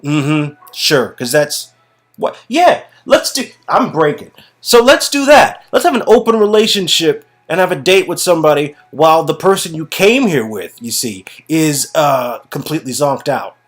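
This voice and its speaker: A man with a husky voice narrating a story game and improvising dry, halting, sarcastic asides. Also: fairly high pitch, very animated. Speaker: narrator and reader.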